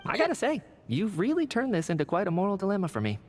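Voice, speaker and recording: A man's voice: high voice, wildly swooping pitch, brisk, big-room sound, soft background music, heavily acted. A man playing a playful, work-shy scientist in a video game, with a breezy, teasing, theatrical delivery. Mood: amused.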